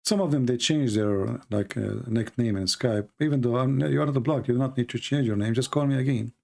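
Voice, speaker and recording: Casting: male live-stream host, English as a second language, fry-laden mellow voice, teasing debater who fires provocative questions. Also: mid-range voice, brisk. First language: not English. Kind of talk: unscripted live call-in debate.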